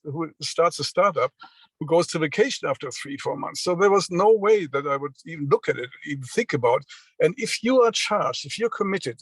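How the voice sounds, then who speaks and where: A man in his sixties giving a motivational business talk over a video call, halting often with fillers and restarts. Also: on the high side, dry recording, poor audio. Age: 60s. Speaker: seminar speaker.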